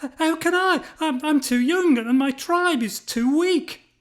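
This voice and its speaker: A timid young man from a children's storybook, acted out by a male narrator. The voice jumps up high and squeaky. He is doubtful and meek, with a nervous stammer in his delivery. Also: unhurried. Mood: frightened, doubtful.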